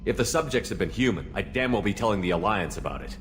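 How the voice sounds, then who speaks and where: A man's voice: lowish voice, lively intonation, fast, a little hiss or hum, natural voice. A man playing a human military commander in a video game, speaking in a firm, blunt and authoritative way. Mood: stern.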